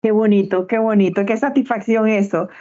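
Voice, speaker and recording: A woman's voice: mid-range voice, steady tone, moderate pace, mellow, muffled recording. A woman hosting a podcast interview, asking questions and giving effusive thanks, heard over a video call. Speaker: podcast host.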